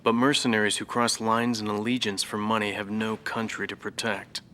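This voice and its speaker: A brooding former ace pilot. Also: male voice, fairly low pitch, little pitch movement, sharp tone, slight background noise. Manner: measured, brooding, reflective. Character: proud former enemy ace, now reflective professor. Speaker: former fighter pilot interviewed. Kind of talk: voice acting in a game cutscene.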